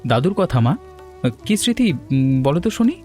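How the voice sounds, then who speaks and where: A man's voice: a little high, sing-song, fairly quick, mellow, faint music behind. A man voicing an earnest, dutiful young married son in a cartoon story. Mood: earnest, gentle.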